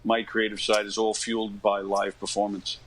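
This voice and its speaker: A man of about fifty from Long Island, New York, telling stories casually as an interview guest, in a halting, informal way that often doubles back. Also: lowish voice, monotone, fairly bright tone. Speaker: interview guest, stage actor. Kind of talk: casual conversational storytelling.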